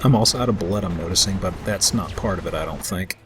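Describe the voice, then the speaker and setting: A man's voice: sits low, fast talker, music in the background. A man giving casual let's play commentary as he plays a video game. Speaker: gameplay commentator playing the game.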